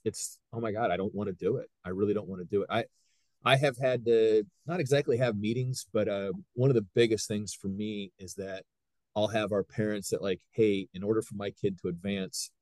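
A man in his fifties from Ohio, a high school wrestling coach who talks earnestly in a casual podcast chat and often acts out what people said. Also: a little mellow, no room sound, poor audio. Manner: earnest, retells scenes by quoting people.

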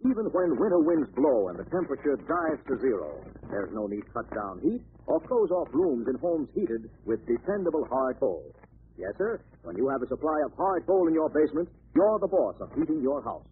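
A man's voice: medium pitch, big pitch swings, brisk. A man reading scripted announcements and narration in emphatic, formal vintage radio style. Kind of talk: 1930s-40s radio announcing, scripted narration and sponsor read. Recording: tinny old radio recording, faint noise.

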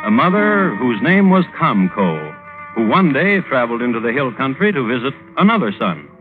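A male host narrates an old radio program from a script. His wry storytelling moves freely up and down in pitch. The sound is narrow and boxy, with music clearly behind him. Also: very animated, normal speed. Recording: band-limited old radio recording.

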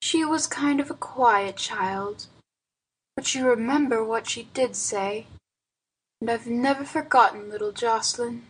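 A frail old woman from a storybook, acted out by a female narrator, with a husky voice set fairly high. She is wistful and plaintive, forever pleading as if close to tears. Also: measured pace, low-quality recording. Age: older.

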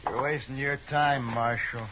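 An actor playing a shifty, defiant hired gunman in a 1950s radio western, evasive and protesting in rough folksy speech. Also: medium pitch, fairly even intonation, measured pace, natural voice. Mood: sullen defiance. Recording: dull, hissy 1950s radio recording.

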